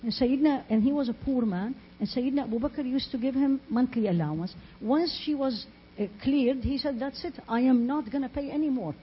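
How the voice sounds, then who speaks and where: Woman preaching earnestly through muffled audio. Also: on the high side. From Iraq. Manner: earnest, emphatic, repeats phrases and asks rhetorical questions. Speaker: Islamic preacher and teacher. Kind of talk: religious talk with storytelling. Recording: muffled lo-fi audio with faint noise.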